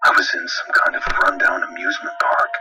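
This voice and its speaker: A man's voice: fairly quick, far from the mic, heavy background noise. A man reading a horror story as a dramatic narrator. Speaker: creepypasta narrator. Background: music.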